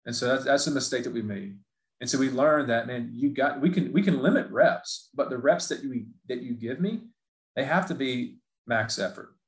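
A man's voice: medium pitch, animated, normal speed. Earnest male coach in an echoey room. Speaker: performance coach. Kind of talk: panel discussion. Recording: roomy echo.

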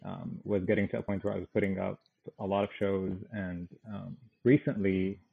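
Gravelly, husky man on a phone-like line. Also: low voice, little pitch movement, normal speed. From Damascus, Syria. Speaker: podcast guest, comedian, artist and writer. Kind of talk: casual interview conversation. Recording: tinny, band-limited phone-like sound.

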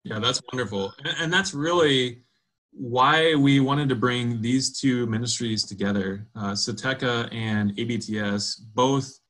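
A man hosting a video call interview, friendly and halting, with many fillers. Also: medium pitch, fairly bright tone, low-quality recording. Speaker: host.

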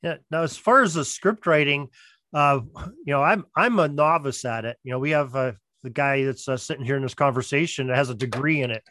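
A man's voice: medium pitch, fairly quick, sharp tone, no room sound. A man talking casually as a producer being interviewed on a podcast.

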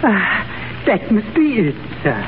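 An actor playing a timid, flustered, deferential manservant with a halting delivery in a 1930s radio drama. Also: male voice, high-pitched, big pitch swings, exaggerated voice. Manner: stammering, halting. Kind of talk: 1930s radio comic acting. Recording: tinny, hissy 1930s radio broadcast.

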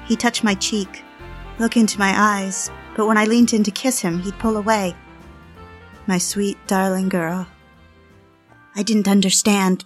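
A woman playing a yearning, submissive wife, narrating a dramatized diary in an intimate, measured way. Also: mid-range voice, natural voice. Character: yearning, submissive wife confessing an obsessive marriage. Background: background music.

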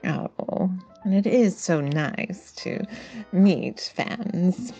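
Casting: woman playing genteel, gracious film star of the thirties, unhurried, lively swooping intonation, roleplay game. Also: on the low side, lo-fi sound, faint music behind, exaggerated voice. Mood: pleased, polite. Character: gracious 1930s Hollywood film actress, obliging to fans. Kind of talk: improvised in-character tabletop roleplay.

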